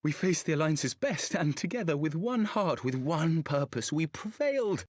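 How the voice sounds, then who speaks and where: Earnest, hopeful young nobleman, higher voice. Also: big pitch swings, moderate pace, dry recording, studio quality. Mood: proud, stirring. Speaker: voice actor in a fantasy video game. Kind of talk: cinematic game cutscene acting.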